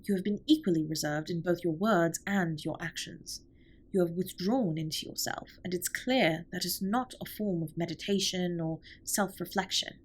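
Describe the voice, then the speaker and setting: Alien spaceship captain played by a woman, audio roleplay. Soft husky voice, slightly low, precise formal diction, gentle earnest care. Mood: concerned, hesitant. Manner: formal, stiffly precise, measured wording. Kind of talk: scripted intimate comfort audio roleplay.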